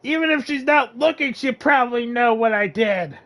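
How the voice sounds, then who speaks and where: A man's voice: extremely high pitch, normal speed, fairly bright tone. A man giving casual live commentary as a game streamer, with wry, sarcastic asides.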